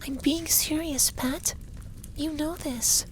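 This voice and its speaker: Man voicing a high, soft effeminate dragon boyfriend. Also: fairly even intonation, takes it slowly, slight background noise, poor audio. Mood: drowsy, firm. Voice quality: soft, breathy, lapsing into whispers. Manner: commanding, teasing, tender. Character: effeminate young male dragon lover, possessive, stern but caring. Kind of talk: ASMR roleplay, intimate and slow.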